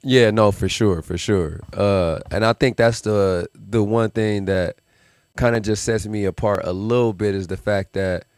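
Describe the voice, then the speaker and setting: A man's voice: on the low side, fairly even intonation, measured pace, a little mellow, no echo, studio quality. A man in his thirties, a musician from Milwaukee raised in Las Vegas, talking in a laid-back, reflective way with lots of fillers in a casual podcast interview. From Milwaukee, Wisconsin; raised in Las Vegas. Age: 30s. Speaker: musician guest.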